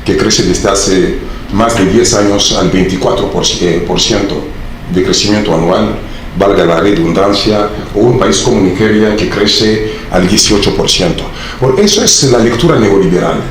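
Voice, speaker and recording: A man from the Democratic Republic of the Congo, a native Swahili speaker, gives a formal academic talk in a low voice. He lectures in a measured way, pausing often to find his words. The hall around him rings with heavy echo. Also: a little hiss or hum. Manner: measured lecturing with frequent hesitations. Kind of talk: formal conference speech. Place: large conference hall. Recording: very echoey hall.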